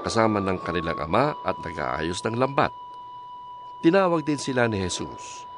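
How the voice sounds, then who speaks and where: A man narrating a dramatized scripture reading in a measured storytelling manner. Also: medium pitch. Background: music.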